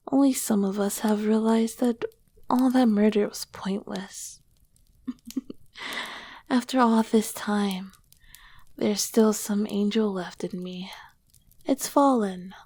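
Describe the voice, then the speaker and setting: A woman playing an ancient, tender, teasing succubus queen in an intimate ASMR roleplay, soft-spoken and often dropping to a whisper. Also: mid-range voice, no room sound. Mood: regretful, reflective. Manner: slow, gentle, affectionate. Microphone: close microphone, dry.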